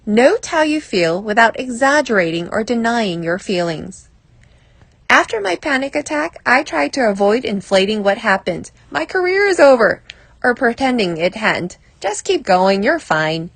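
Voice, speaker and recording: A woman reading a self-help article aloud as scripted narration, in a measured, clearly phrased way. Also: a little high, dry recording.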